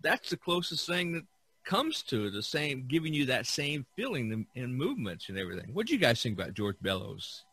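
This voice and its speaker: Bright-voiced man hosting, halting delivery. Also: mid-range voice, very animated, breathy edge, dry recording. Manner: halting, repeating words as he searches. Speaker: podcast host. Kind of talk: casual art discussion.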